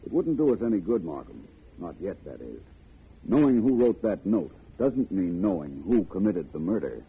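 An actor in a 1950s radio drama playing a courteous, self-assured gentleman detective, polite and knowing in manner. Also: low-pitched, very animated, moderate pace, slightly rough, heavily acted. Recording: tinny, noisy old radio recording.

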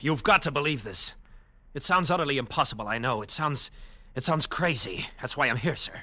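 An actor playing an earnest young Navy flyer in an old radio drama, halting and desperate to be believed. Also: male voice, medium pitch, lively intonation, sharp tone, no echo, soft background music. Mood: desperate, pleading. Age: young adult. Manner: pleading, halting. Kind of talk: 1940s-50s radio drama acting. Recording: noisy old radio broadcast, engine drone.

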